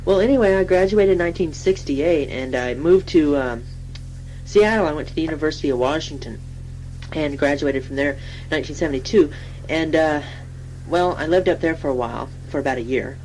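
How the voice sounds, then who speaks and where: A woman's voice: low-pitched, fairly quick, a little mellow, lightly acted, poor audio. A woman playing a chatty, self-deprecating young station typist, improvising a rambling, giggly self-introduction in colloquial speech. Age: young adult. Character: chatty, self-deprecating twenty-six-year-old female station typist. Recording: faint hum and noise.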